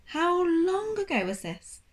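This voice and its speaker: Storybook gentleman guardian read by a woman: courteous, dry, formal, high-pitched with lively swings. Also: slow pace, full character voice. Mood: polite, dry. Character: tall, long-legged gentlemanly guardian, politely dry man.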